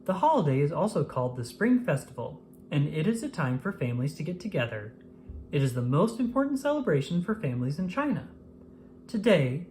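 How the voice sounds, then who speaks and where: A woman with a low-pitched voice reads a children's story aloud. Her intonation rises and falls in a lively, expressive way suited to young listeners. Some background noise sits behind her narration.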